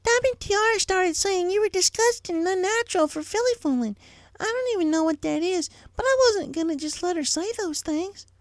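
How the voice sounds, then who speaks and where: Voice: very high-pitched, expressive, a little fast, no echo, clean studio sound, pitched above the performer's own voice. A performer voicing an indignant, curious little schoolgirl pony in a story.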